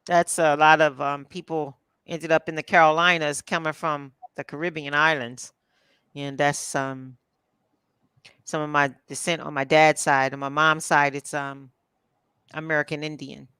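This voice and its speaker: A woman from Philadelphia chatting casually as a co-host, relaxed and chatty. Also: low-pitched, clear, resonant tone, no echo. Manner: relaxed, chatty, drawn-out fillers. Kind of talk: casual chat.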